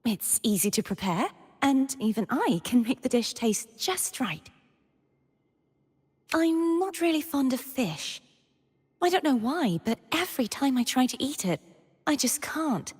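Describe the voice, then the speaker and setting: A woman voicing a gentle young maiden heroine for a video game, earnest and sweet, with a soft breathy voice and measured, storybook delivery. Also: sits high, sing-song, big-room sound, exaggerated voice. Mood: cheerful, sweet. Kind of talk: scripted video game character voice lines.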